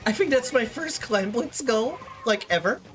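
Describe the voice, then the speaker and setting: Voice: medium pitch, expressive, normal speed, music in the background. A streamer with a stuffy, sniffly nose, chatting casually and rambling while playing a game. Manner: chatty, rambling, trailing off mid-sentence. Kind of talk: casual gaming chat.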